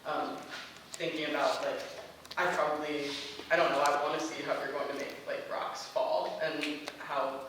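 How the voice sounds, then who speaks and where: A man with a slightly high voice speaks hesitantly, pausing between phrases. He is far from the mic in a very echoey room, with clear noise behind him. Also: little pitch movement. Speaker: audience member giving feedback. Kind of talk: casual discussion.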